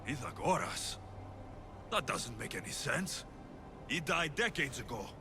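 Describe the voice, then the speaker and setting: Proud young warrior, lively pitch. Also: male voice, measured pace, creaky. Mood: disbelieving. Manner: blunt, intense, questioning. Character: proud, wary young warrior seeking answers. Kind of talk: video game cutscene acting. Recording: music behind the voice.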